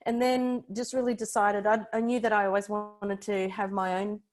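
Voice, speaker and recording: A woman's voice: mid-range voice, little pitch movement, normal speed, faint music behind. Chatty Australian woman podcast guest. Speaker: podcast guest, personal branding expert. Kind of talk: conversational interview, recounting own career.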